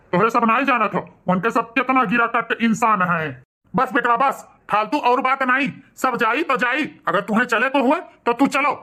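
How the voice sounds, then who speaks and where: A man acts out a village family man in an Awadhi cartoon. His voice is bright and tense. He speaks emphatically and argumentatively, with a rural Awadhi accent. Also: very high-pitched. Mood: stern. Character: village family men, stern old patriarch and grumbling son.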